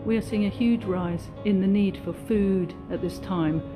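A woman's voice: mid-range voice, moderate pace. A middle-aged woman making a serious appeal as a charity worker. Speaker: community food charity worker. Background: plucked guitar music.

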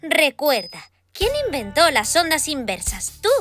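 A woman's voice: high-pitched, big pitch swings, measured pace, exaggerated voice. A woman voicing an upbeat, supportive young girl in a cartoon, encouraging in manner, with a Castilian Spanish accent. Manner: bright-spirited, encouraging. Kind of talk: animated cartoon dub. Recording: studio voice under background music.

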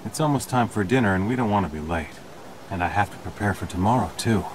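An actor playing a serious, gentle young male ninja and older brother, reserved and apologetic, in an anime dub. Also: on the low side, lively intonation, fast, noisy background, natural voice. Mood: calm.

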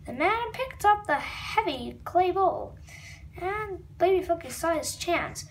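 A very high-pitched child reads a comic book aloud at a slow pace. The reading stumbles and drifts into asides, with clear noise in the background. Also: expressive. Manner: stumbles over words, wanders off into asides. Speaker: read-aloud video host. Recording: noisy home recording with room ambience.

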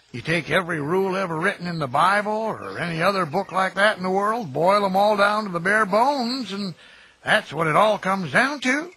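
Lively folksy American caretaker. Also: male voice, high-pitched, exaggerated voice. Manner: folksy, neighborly, plain-spoken. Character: folksy, kindly middle-aged graveyard caretaker who chats with the dead. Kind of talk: audio drama acting. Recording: noisy outdoor ambience with birdsong.

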